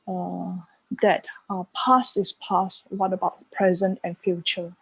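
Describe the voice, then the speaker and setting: A woman giving reflective answers as an interview guest, haltingly searching for her words. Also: medium pitch, a little mellow, no echo, poor audio. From Malaysia. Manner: halting, searching for words in non-native English. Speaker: art curator and panel guest.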